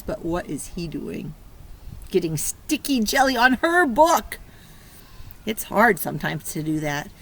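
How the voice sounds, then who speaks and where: Expressive woman reading slowly to young children. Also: mid-range voice. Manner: encouraging, praising child listeners; expressive, exclaiming and prompting. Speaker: preschool teacher reading a picture book aloud. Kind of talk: children's read-aloud with questions to young listeners. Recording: clear background noise.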